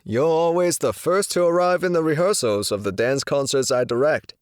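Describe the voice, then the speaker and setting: A man's voice: a little high, moderate pace, clean tone, no room sound, clean studio sound, lightly acted. A man playing an easygoing, welcoming dance director in an audio drama.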